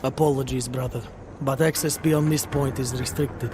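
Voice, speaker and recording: A man playing a serene, unyielding cult devotee in a video game, speaking in a formal, calm, pious manner. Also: mid-range voice, moderate pace, background noise, lightly acted. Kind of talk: video game cutscene acting.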